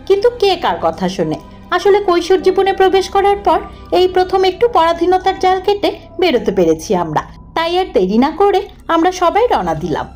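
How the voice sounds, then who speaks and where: A woman's voice: very high voice, a little mellow. A woman reading a scripted first-person ghost story as its narrator. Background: background music.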